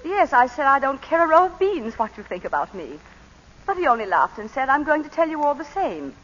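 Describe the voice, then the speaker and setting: Old radio drama: a clipped, refined planter's wife, bright and expressive, muffled broadcast sound. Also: female voice, high-pitched, brisk. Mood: measured. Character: composed planter's wife recounting a killing. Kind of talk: 1940s radio acting.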